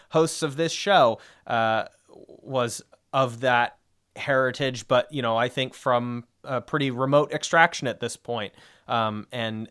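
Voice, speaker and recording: A man's voice: mid-range voice, fairly bright tone, smooth. A young man co-hosting a discussion podcast, explaining at length in a rambling way with lots of fillers and asides. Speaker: podcast co-host.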